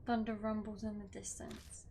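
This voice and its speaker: A woman's voice: mid-range voice, level tone, moderate pace, warm tone, a little hiss or hum. A woman game streamer reading a visual novel aloud, breaking off for casual commentary. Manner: casual reactions between read-out lines. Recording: music behind the voice. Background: game music.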